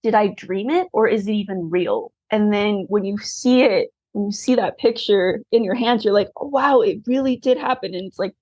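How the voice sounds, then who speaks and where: Husky, tearful woman author, dull sound. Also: medium pitch, normal speed, few pauses, a little mellow, no echo. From Taiwan. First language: Mandarin. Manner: earnest, candid, frequent "like" fillers. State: tearful, close to crying. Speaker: guest, adoptee author. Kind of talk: emotional interview conversation.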